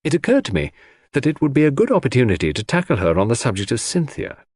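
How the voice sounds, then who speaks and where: Husky male narrator with sweeping intonation. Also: medium pitch, huge pitch swings, fast, darkish tone. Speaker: audiobook narrator. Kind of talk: scripted first-person mystery narration.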